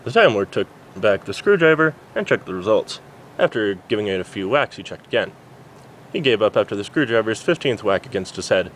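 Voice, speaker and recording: A man's voice: fairly low pitch, sing-song, fairly quick, fairly bright tone, slight background noise. A man narrating a story as an amateur reader, stumbling over words now and then. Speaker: amateur fan fiction reader. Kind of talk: story narration, reading aloud.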